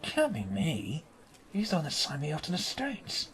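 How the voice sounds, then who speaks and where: A man's voice: on the high side, huge pitch swings, normal speed, a bit bright, husky, exaggerated voice, rough recording. A man playing a cheeky agent in an audio drama, wry and teasing toward colleagues.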